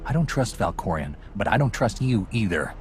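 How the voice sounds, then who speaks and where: A resolute Jedi hero with a lowish, lively voice. He is earnest, firm and wary, in video game cutscene style over prominent music. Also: moderate pace.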